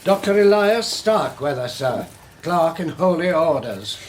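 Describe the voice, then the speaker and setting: A man's voice: a little high, big pitch swings, a little slow, a little hiss or hum. An actor playing a fussy, indignant older clergyman uncle in a period radio drama, pompous, formal and given to grumbling. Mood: proper.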